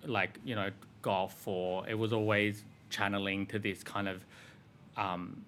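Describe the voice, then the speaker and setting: An Australian man in his thirties talks through his answers in a bright, lower-pitched voice. He rambles loosely, leaning on filler words, in relaxed interview chat. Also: measured pace, slight background noise. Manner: rambling, filler-heavy with frequent "like" and "um". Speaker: fashion designer guest.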